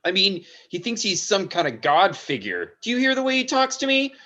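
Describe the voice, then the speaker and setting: Man playing an indignant, high-voiced veteran investigator. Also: wildly swooping pitch, moderate pace, sharp tone, lo-fi sound, heavily acted. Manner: aggrieved, grumbling. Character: indignant, hot-tempered veteran investigator, a grumbling older man. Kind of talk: screenplay table read.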